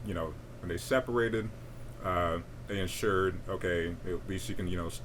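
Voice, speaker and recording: A man's voice: normal speed, fairly bright tone, a little hiss or hum, low-quality recording. A young man, chatting casually on a podcast, laid-back and rambling with many fillers. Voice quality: deep voice. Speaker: podcast co-host. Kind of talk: casual chat.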